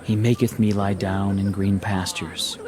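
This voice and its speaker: Deep-voiced solemn priest. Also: male voice, steady tone, measured pace, a crowd in the background. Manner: solemn, reciting scripture. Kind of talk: television drama acting.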